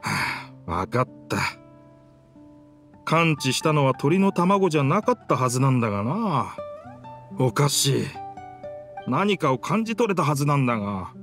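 An actor voicing a proud, dramatic talking pirate ship in an anime video game, in pompous, rough masculine speech. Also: male voice, medium pitch, very animated, normal speed, long pauses, a bit bright. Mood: puzzled. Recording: music behind the voice.

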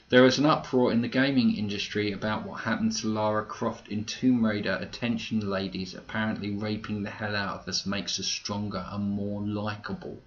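A man hosting a podcast, chatting casually in a rambling, conversational way with wry asides. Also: on the low side. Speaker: podcast host. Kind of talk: casual podcast commentary, reading out listener comments. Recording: dull lo-fi audio with clear noise.

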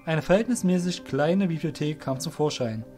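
A man's voice: on the high side, animated, moderate pace, a little mellow, faint music behind. A man narrating a scripted fantasy story as the narrator of an audio drama.

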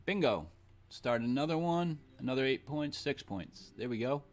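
A man's voice: medium pitch, lively intonation, moderate pace. A man in his thirties, chatting casually to himself and his viewers while playing a board game at home. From Boston. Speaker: streamer. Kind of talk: casual game-stream chat. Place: small room at home.

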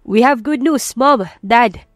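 A woman's voice: a little high, slow pace, dry recording, studio quality, soft background music, pitched above the performer's own voice. A woman voicing an earnest, emotional young man in a romance audiobook, switching between Tagalog and English. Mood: happy. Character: Samuel, earnest wealthy young man defying his parents. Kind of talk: audiobook romance drama acting.

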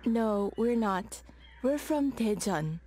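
Coursebook audio: woman as a friendly young woman student, clear and careful, a bit high-pitched. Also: lively intonation, takes it slowly, warm tone. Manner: clear, carefully spoken textbook dialogue. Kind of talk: scripted coursebook audio dialogue.

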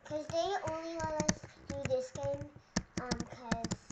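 Very noisy, high-pitched childlike female gamer. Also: takes it slowly. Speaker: co-player voicing Lexi in a roleplay. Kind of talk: casual roleplay game chat. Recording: very noisy home microphone with clicks.

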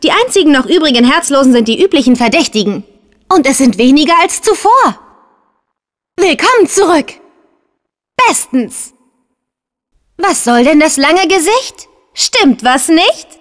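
Spunky, high-pitched teenage ninja girl. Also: measured pace, long pauses. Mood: friendly, casual. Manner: perky, teasing, energetic. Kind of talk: video game voice acting.

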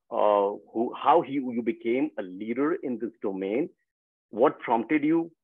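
A man's voice: mid-range voice, no room sound. A male host introduces and responds to his guest in a loose, chatty way, filling gaps with "I mean, like." His voice comes through a headset on a video call and sounds thin and phone-like, with no room sound around it. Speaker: webinar host. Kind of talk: hosting an online masterclass. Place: room with bookshelves, indoors. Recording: dry, tinny phone-like line.

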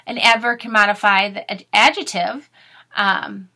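A woman in her thirties, expressive and instructive, speaking to children as a teacher does in a read-aloud lesson. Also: mid-range voice, measured pace. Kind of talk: storybook read-aloud with grammar explanations. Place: small furnished room at home.

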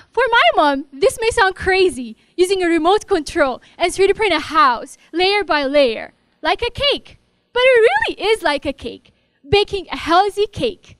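Give me a young woman with an Ethiopian accent giving a vivid research pitch, very high and dry. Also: fairly bright tone, no echo. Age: young adult. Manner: storytelling, vivid and direct. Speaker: graduate student pitching research. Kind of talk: memorized elevator pitch. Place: large hall with glass walls, indoors. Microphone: handheld microphone.

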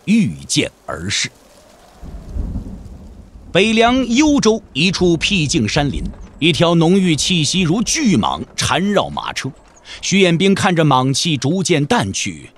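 Casting: male narrator for a martial-arts radio drama, slightly high pitch, extremely wide intonation, scripted storytelling. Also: wildly swooping pitch, unhurried, breathy edge.

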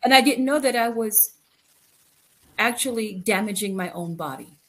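A middle-aged woman from the Philippines giving a candid, reflective personal account as an interview guest, halting and repeating phrases for emphasis. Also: mid-range voice. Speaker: guest, author. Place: indoor room, video call. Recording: noisy video call.

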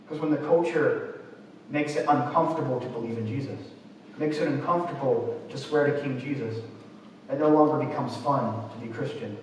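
A man's voice: mid-range voice, brisk. A man in his twenties preaching a sermon from a church pulpit, reading and explaining scripture. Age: 20s. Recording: echoey church, distant mic, faint noise.

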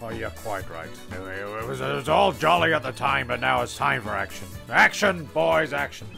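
A man's voice: medium pitch, expressive, moderate pace, creaky, over music. A man narrating a scripted comedy in a mock-grand, rambling way. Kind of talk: comic scripted storytelling with sung shanty.

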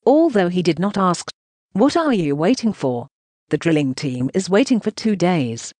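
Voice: mid-range voice, big pitch swings, moderate pace, darkish tone, no room sound. A synthetic text-to-speech voice reading translated news narration in a choppy way, speaking punctuation marks aloud.

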